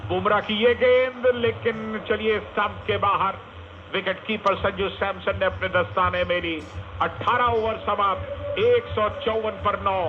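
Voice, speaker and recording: A man's voice: high voice, fairly even intonation, measured pace. A man giving emphatic live cricket commentary, repeating phrases for effect. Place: live sports commentary picked up on radio. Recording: noisy narrowband AM radio broadcast.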